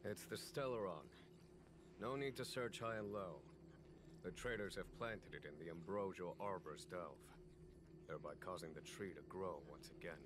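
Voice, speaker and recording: Actor as a calm, low-voiced, shrewd general. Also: male voice, noisy background, close to an everyday voice. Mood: calm, assured. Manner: measured, musing, authoritative. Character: calm, shrewd male general, unhurried and quietly amused. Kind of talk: scripted video game voice acting. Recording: background music under the voice.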